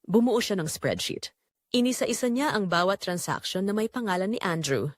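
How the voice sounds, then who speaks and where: A woman with a Filipino accent narrates a scripted story dramatically, in measured, suspenseful short phrases. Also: mid-range voice, expressive, no echo, studio quality.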